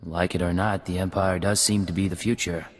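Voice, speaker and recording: A ruthless undercover agent in a video game. His low, husky voice is terse and menacing, with a long reverb. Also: flat delivery, fairly quick. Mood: cold.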